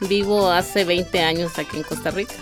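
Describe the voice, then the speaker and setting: A woman's voice: lowish voice, fairly even intonation, moderate pace. A middle-aged woman with a Nicaraguan accent giving an informal personal account in an interview. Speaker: migrant interviewee. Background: music.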